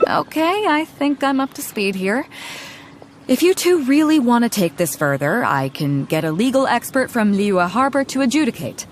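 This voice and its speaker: A woman voicing a confident, easygoing female ship captain who settles disputes with an amused, relaxed manner. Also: fairly high pitch, normal speed, slight background noise. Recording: game cutscene with loud background music.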